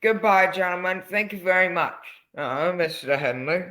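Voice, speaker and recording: An eager, eccentric old salesman from an old-time radio play , acted by a man. His voice sits fairly high with a sharp, bright edge. He is folksy and fussy, repeating himself with excessive politeness. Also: normal speed, a little hiss or hum. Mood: polite, grateful. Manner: fussy, folksy, repetitive, overly deferential.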